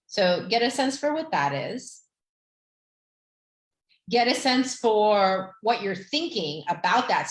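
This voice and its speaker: A middle-aged woman, a Romanian native speaker, hosting a podcast as a therapist, gently guiding listeners through a tapping exercise with many fillers. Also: mid-range voice, reverberant.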